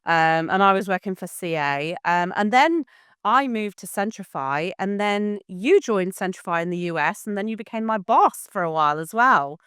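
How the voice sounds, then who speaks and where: A woman's voice: medium pitch, expressive, moderate pace, a bit bright, clear, resonant tone, no room sound, studio quality. A woman hosting a podcast, interviewing her guest in a friendly, conversational way. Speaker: podcast host.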